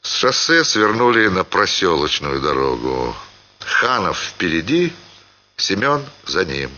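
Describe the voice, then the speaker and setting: Deep, animated male storyteller. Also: unhurried, dull audio. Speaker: actor reading a short story. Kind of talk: expressive scripted literary narration.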